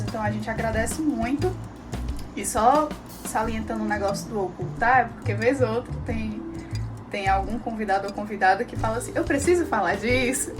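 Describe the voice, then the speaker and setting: Giggly excitable Brazilian woman host. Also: on the high side. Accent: Brazilian Portuguese. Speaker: podcast host. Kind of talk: casual podcast chat.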